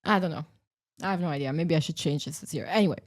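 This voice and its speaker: A woman's voice: low voice, fast. A woman hosting a livestream in casual chat, giggly and laughing often. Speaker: livestream host.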